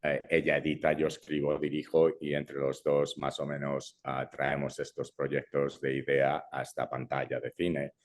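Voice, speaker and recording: A man's voice: very deep voice, monotone, measured pace, hardly any pauses. A young adult man from Brazil who grew up in Madrid, a filmmaker talking casually in an interview, often dropping English fillers and restarting his sentences. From Brazil, raised in Madrid. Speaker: filmmaker guest.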